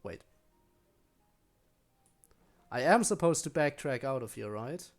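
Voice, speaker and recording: A male game streamer with a mid-pitched voice. He comments casually as he plays, muttering to himself and tossing wry asides at the game. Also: lively intonation, a little fast. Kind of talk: casual live gameplay commentary.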